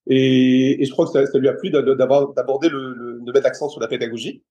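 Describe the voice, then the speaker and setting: A middle-aged man from France, talking casually as a guest about his work as a trainer. His voice is mellow and warm at a middle pitch. He halts often, repeats words and fills gaps as he thinks aloud. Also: flat delivery, fairly quick, muffled recording. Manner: hesitant, many restarts and fillers. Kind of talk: casual interview chat.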